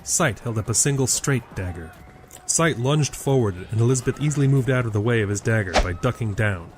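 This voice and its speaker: A man's voice: mid-range voice, expressive, brisk. A man reading scripted narration for an audio drama. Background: loud music.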